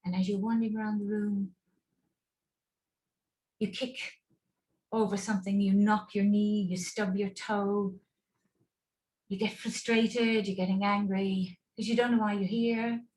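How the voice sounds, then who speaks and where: A woman leading a guided relaxation and visualization over a video call, speaking in slow, soothing, paused instructions. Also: mid-range voice, level tone, a little mellow, rough recording.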